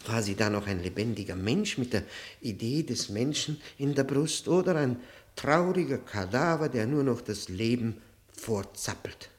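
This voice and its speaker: An actor playing a bitter, defensive husband justifying a dark deed in a German radio drama, halting and brooding with sudden emphatic surges. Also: male voice, mid-range voice. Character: tormented husband justifying his wife's death, bitter and defensive.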